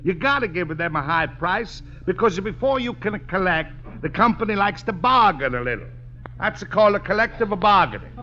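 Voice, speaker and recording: An actor playing a gleeful, conniving Italian immigrant who wheedles in broken English with an Italian accent in a radio comedy. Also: male voice, sits high, lively intonation, moderate pace, bright, forceful tone. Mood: wheedling. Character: scheming, gleeful Italian immigrant man, conniving false friend. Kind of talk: 1950s radio comedy acting. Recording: noisy, dull old radio recording.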